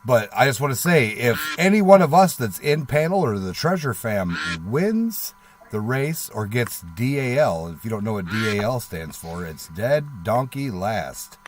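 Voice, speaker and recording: A man's voice: medium pitch, big pitch swings, measured pace. Man chatting casually over faint noise. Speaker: livestream co-host. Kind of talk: casual livestream chat.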